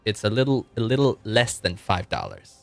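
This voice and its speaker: A deep, low-pitched man from Argentina chats loosely with his stream audience, doubling back on his own words and laughing softly. He answers chat as he goes. Quiet music plays underneath. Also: wildly swooping pitch, normal speed, vocal fry. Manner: rambling, self-correcting, chuckling, reading chat aloud. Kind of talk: casual livestream chat.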